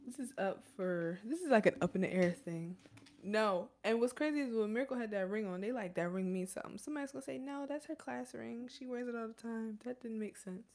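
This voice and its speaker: A woman co-hosting a podcast, chatting casually in an animated, jokey way and laughing often. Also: on the high side, fairly quick. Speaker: podcast co-host. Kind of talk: casual chat.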